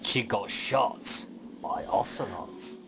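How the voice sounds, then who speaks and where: A man's voice: lowish voice, slow, creaky, noisy background. A man chatting casually as co-commentator on a video game. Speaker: let's play co-commentator.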